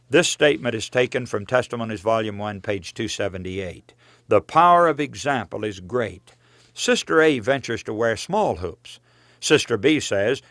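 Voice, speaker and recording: A man's voice: medium pitch, dry recording. A man preaching from a written text in an earnest, admonishing, emphatic way, as in a sermon read aloud with rhetorical questions. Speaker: preacher reading own religious book.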